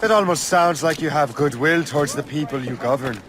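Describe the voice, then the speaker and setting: An actor playing a guarded, brooding young adventurer in a game cutscene, speaking in a blunt, earnest way. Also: male voice, on the high side, a little fast, fairly bright tone, slight background noise, close to an everyday voice. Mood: skeptical. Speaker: game protagonist. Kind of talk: dramatic game cutscene dialogue.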